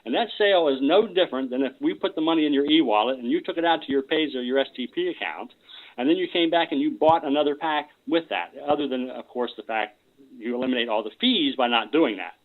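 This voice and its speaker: A man's voice: mid-range voice, quick pace. A man explaining business matters off the cuff on a conference call, often repeating words as he starts a sentence. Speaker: company presenter on a conference call. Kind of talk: unscripted explanatory business talk. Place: conference call over a phone line. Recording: tinny phone-line conference call audio.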